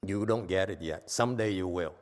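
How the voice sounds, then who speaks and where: A man's voice: deep voice, fairly quick, a little mellow. A man with a Vietnamese accent giving a Buddhist dharma lecture in a temple hall, blunt and teasing, often checking in with "okay?". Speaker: Buddhist teacher.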